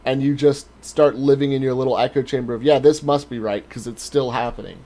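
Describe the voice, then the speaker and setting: A man's voice: medium pitch, steady tone, brisk, dark tone. A man co-hosting a podcast in casual chat, talking in an informal, blunt way. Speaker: podcast co-host.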